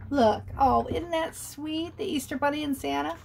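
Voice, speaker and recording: A woman reading a picture book aloud to children, chatty and animated, often pausing to ask her listeners questions. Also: sits high, creaky, a little hiss or hum. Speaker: storyteller.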